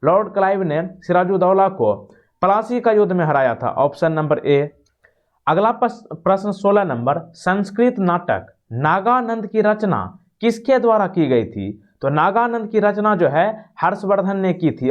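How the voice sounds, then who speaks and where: A man's voice: high voice, lo-fi sound. A man teaching in quiz format, explaining and repeating questions and answers like an exam-prep tutor. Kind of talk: quiz lesson, reading questions then giving answers.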